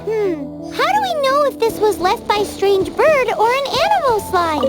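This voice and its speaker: A teeny squeaky fairy sidekick for a game, super high, curious, swoopy, music under it. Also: female voice, very animated, unhurried, heavily acted. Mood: puzzled. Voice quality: squeaky, childlike. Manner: refers to herself in third person, exclaiming. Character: tiny childlike female fairy companion, curious and chirpy. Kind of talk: video game voice acting.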